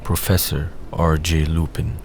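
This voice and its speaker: A man's voice: a bass voice, flat delivery, measured pace, a little hiss or hum. A man reading scripted narration for an audio drama.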